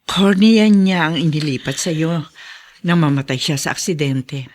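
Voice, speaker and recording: An actress playing a frank, supportive friend who speaks directly and emphatically in a TV melodrama. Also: female voice, low-pitched, moderate pace, a little mellow, close to an everyday voice. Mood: consoling. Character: frank, supportive woman friend consoling a mother. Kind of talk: TV melodrama acting.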